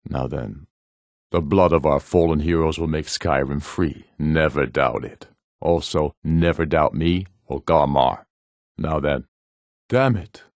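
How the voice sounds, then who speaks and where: A proud Nordic warlord for a fantasy video game, with an extremely deep voice. He speaks like a ruler addressing his warriors, solemn, measured and imperious. The sound is close and dry. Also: a little mellow, no room sound, exaggerated voice. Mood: grave, resolute, then angry. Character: proud, commanding rebel warlord, a middle-aged Nordic jarl.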